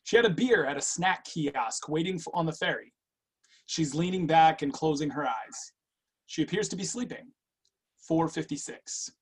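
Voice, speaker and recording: A man as a husky, watchful note-taker. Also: on the high side, expressive, moderate pace. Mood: furtive, watchful. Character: watchful man in his thirties dictating surveillance notes. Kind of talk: screenplay table read.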